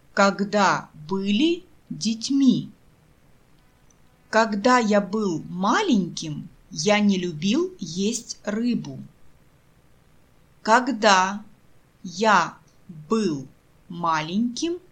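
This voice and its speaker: A woman reading scripted example sentences as a language teacher, enunciating carefully phrase by phrase with deliberate pauses. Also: mid-range voice, slightly rough. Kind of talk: scripted language drill, each sentence read then repeated slowly.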